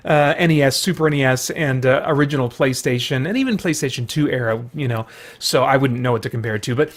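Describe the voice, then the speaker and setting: A man's voice: mid-range voice, quick pace. A middle-aged man hosting a video series, talking casually and rambling about video games.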